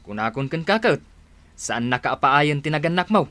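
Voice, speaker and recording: Brash, bright-voiced Ilocano buddy. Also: male voice, on the high side, expressive, normal speed, no echo. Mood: coaxing. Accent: Ilocano Filipino. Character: brash, scheming male buddy who tempts his friend. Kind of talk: Ilocano radio drama acting.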